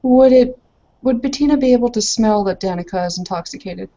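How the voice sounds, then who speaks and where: A woman player narrating her character in a tabletop roleplay, descriptive and hesitant, with pauses as she goes. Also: on the high side, warm tone. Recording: slightly dull, lo-fi audio.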